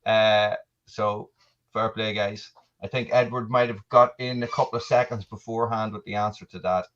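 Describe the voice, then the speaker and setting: A man's voice: lowish voice, steady tone, moderate pace, a bit bright, highs cut off. An Irish man, a podcast guest, chatting casually over a video call, often stammering and restarting with a laugh. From Ireland. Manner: stammering restarts, laughing, reading chat aloud. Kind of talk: casual livestream chat.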